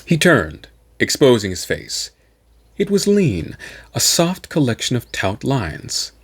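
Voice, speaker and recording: A man giving a dramatic, theatrical narration of science fiction in a deliberate manner, as an amateur audiobook reader. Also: mid-range voice, wildly swooping pitch, breathy edge.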